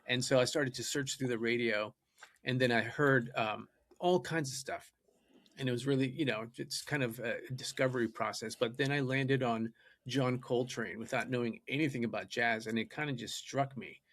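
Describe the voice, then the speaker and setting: A man's voice: mid-range voice, normal speed, a bit bright, dry recording. A man whose first language is Japanese, a podcast guest telling his story in an earnest, eager way. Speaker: guest, data scientist and musician. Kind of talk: podcast interview.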